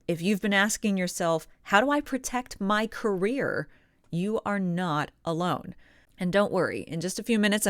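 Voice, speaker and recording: A woman hosting a vlog as a professional voice actor, speaking straight to the audience in emphatic, deliberate phrases with pointed pauses. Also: medium pitch, dry recording, clean studio sound. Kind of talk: direct-to-audience scripted vlog monologue.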